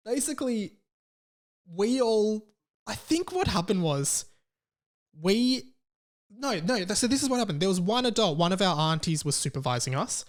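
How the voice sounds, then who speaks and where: A man hosting a podcast, telling a personal story casually and comedically, with dramatic emphasis and repetition. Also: sits high. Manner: casual swearing. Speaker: podcast host.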